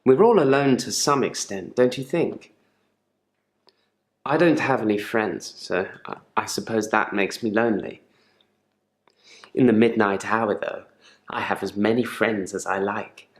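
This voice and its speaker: An actor playing a controlled, quietly menacing therapy patient in a game drama, speaking in a measured, deliberate and politely probing way. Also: male voice, on the low side, reverberant, natural voice. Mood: quietly eerie. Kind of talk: live-action game drama monologue.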